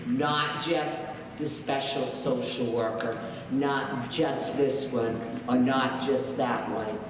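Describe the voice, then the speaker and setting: A man speaking at a public school board meeting, emphatic and indignant, repeating his points. He is heard from a floor mic that partly cuts out. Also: mid-range voice. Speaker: resident speaking at public comment. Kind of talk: impassioned public comment. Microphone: floor mic, partly cut off, heard from far. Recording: echoey hall from far off, noisy.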